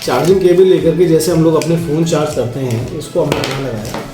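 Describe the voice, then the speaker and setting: A man's voice: fairly high pitch, brisk, dark tone. A man with an Indian accent giving a casual, explanatory product demonstration, often checking in with listeners. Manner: explanatory, checks in with listeners using tag questions. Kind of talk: casual instructional product demo. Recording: roomy echo, faint music behind.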